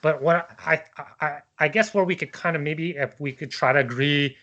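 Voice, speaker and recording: A man's voice: on the high side, moderate pace, a bit bright, muffled recording. A man in casual conversational debate, thinking aloud in a discursive, hesitant way and often checking for agreement. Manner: hesitant, discursive, seeks agreement with tag questions.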